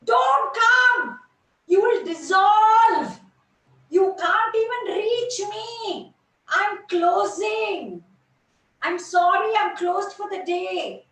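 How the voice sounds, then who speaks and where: A frantic female lotus shouts high, urgent warnings, voiced by a woman storyteller. Each cry is drawn out and forceful. The voice rings through a reverberant room from a distant mic. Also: lively intonation, very slow, full character voice. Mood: alarmed. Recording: echoey room, mic far off.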